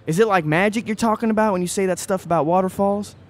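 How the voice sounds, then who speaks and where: A man's voice: sits high, fast talker, natural voice. An actor playing an eager, restless young small-town man of about twenty in an audio play, folksy and blunt. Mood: searching, eager. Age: young adult. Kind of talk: audio play acting before a live audience.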